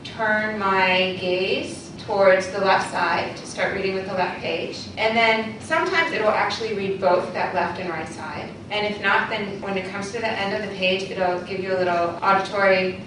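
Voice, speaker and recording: A woman explains a device patiently and plainly, pausing on frequent ums. Her voice comes from well away from the mic in a very echoey meeting room. A clear hiss of noise runs underneath. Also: medium pitch, distant mic. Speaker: occupational therapist demonstrating a device. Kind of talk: casual demonstration and Q&A.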